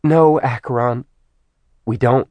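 A man's voice: mid-range voice, slow, no room sound, highs cut off, lightly acted. A man voicing a haughty, vain goddess who is cold and proud, with a commanding manner. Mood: pleading.

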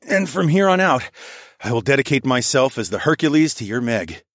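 A man's voice: mid-range voice, very animated, normal speed, fairly bright tone, no room sound, clean studio sound, voice pushed up. A man voicing a devoted, tender young hero in audiobook dialogue, earnest and soothing with a playful streak.

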